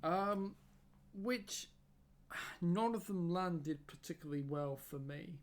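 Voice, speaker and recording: Man, casual reviewer, hoarse from losing his voice, slightly raised pitch, halting delivery full of fillers and repeats. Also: soft background music. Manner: hesitant, many fillers and repeated words. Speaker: YouTube reviewer.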